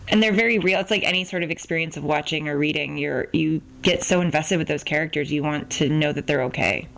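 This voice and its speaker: A woman hosts a relaxed, conversational book podcast. Her voice sits low for a woman and has a noticeable gravelly fry as she comments and puts questions to a guest. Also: quick pace, poor audio. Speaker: podcast host, interviewer. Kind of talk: casual book interview.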